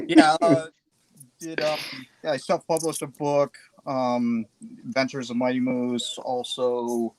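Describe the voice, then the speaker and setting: A man's voice: medium pitch, fairly even intonation, slow pace, long pauses, darkish tone, rough recording. A man chatting as a guest on a live stream, rambling and joking about himself. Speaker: guest artist. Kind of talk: casual live-stream chat.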